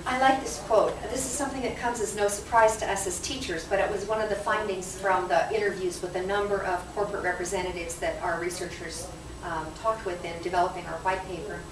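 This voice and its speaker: A woman's voice: mid-range voice, steady tone, a little fast, crowd noise. A woman giving a prepared conference talk to a live audience in an explanatory, emphatic way. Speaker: conference presenter. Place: conference session room. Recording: echoey noisy room, distant microphone.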